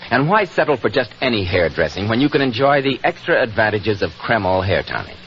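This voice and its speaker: An American man announces like a 1940s radio pitchman. His low voice moves in lively, emphatic swings with polished phrasing. The old recording is muffled and slightly noisy. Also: brisk.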